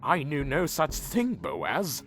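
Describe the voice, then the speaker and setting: A man's voice: a little high, huge pitch swings, takes it slowly, a bit bright, soft background music, exaggerated voice. A man playing a proud, troubled vampire leader who narrates his own story in a fantasy audio drama, earnest and dramatic. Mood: indignant. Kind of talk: first-person scripted narration and audio drama acting.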